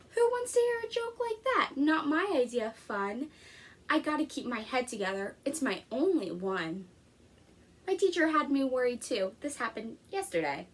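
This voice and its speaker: A woman reading a storybook expressively as an anxious, literal-minded little boy. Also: sits high. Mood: alarmed. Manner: expressive storybook read-aloud.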